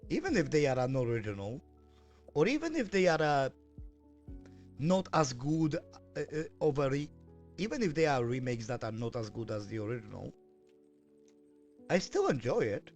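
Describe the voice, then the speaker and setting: A man's voice: mid-range voice, big pitch swings, normal speed, pauses often, a little mellow, soft background music. A man streaming and chatting casually as he works, hesitant with lots of fillers and self-corrections. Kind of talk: casual live-stream chat while working.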